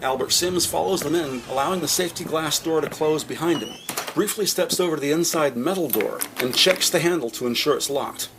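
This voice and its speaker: A man's voice: fairly high pitch, a little fast, background noise. A man narrating a scripted audio drama in the present tense.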